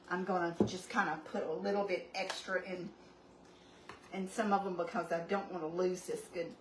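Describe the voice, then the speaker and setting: A chatty woman with a drawl walks through a recipe at home, wandering off into homey asides as she goes. She sounds a few steps back from the mic in an echoey kitchen, with steady background noise under her voice. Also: lowish voice. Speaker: home cooking channel host. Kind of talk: casual cooking demonstration. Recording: roomy, distant, noisy home recording.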